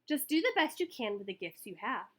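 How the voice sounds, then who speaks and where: A woman's voice: on the high side, big pitch swings, brisk. A woman voicing a loving, reassuring mother in a children's storybook, firm but caring.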